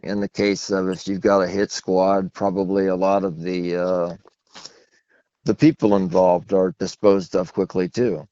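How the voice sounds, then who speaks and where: A man's voice: level tone, measured pace, warm tone, no echo. A man being interviewed by phone as an author, talking in a long-winded, anecdotal, self-deprecating way. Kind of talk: rambling phone interview conversation. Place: phone call. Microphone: telephone. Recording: muffled low-fidelity phone line.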